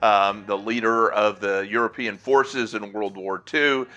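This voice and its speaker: A man's voice: lowish voice, fairly even intonation, unhurried, bright, forceful tone, muffled recording. An older American man hosting a casual podcast history segment in a conversational, folksy, rambling way. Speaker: podcast host.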